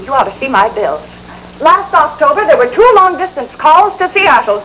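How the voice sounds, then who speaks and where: An actress playing a nosy neighbour with grievances, accusing and sarcastic, in an old training film. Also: female voice, high voice, sing-song, moderate pace, bright, forceful tone, exaggerated voice. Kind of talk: vintage training film acting. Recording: noisy old film soundtrack.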